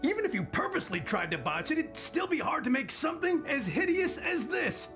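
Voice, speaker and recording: A man's voice: very high voice, big pitch swings, a little fast, over music, full character voice. An actor playing an opinionated, effusive old male tavern drinker in an anime game, theatrical and exaggerated. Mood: disgusted.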